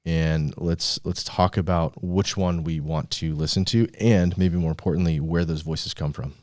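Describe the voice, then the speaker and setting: A man with a deep, low-pitched voice hosts a motivational podcast. He talks informally and conversationally, recorded dry with hardly any room sound. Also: fairly even intonation, normal speed, darkish tone, dry recording. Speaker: podcast host. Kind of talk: casual motivational podcast talk.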